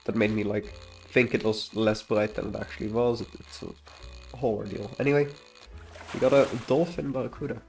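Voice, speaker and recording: A man's voice: fairly low pitch, steady tone, fairly quick, gravelly. Guy w a bit of a stuffy nose doing a devlog, rambly and unsure, trails off a lot, music playing behind him. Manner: rambling, hesitant, trailing off mid-thought. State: slightly congested from a cold. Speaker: indie game developer presenting a devlog. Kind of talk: casual unscripted screen-recording commentary.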